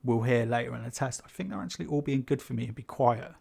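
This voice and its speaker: A man's voice: medium pitch, expressive, quick pace, creaky, a little mellow, breathy edge. Chatty man, sibilant. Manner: rambling, chatty, many fillers and asides. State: rough throat. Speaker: YouTube creator. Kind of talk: casual unscripted talk to camera. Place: small carpeted home room with foam panels. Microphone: pencil condenser on a stand above the speaker.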